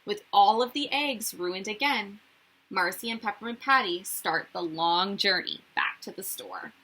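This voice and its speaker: A woman reading a picture book aloud to children in an expressive narrating style. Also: mid-range voice, measured pace, low-quality recording. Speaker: children's story reader.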